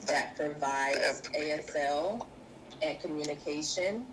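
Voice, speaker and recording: Low-voiced woman interpreter, noisy recording. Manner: measured, halting phrasing while interpreting. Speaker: sign language interpreter voicing a deaf guest. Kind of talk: live spoken interpretation in an interview.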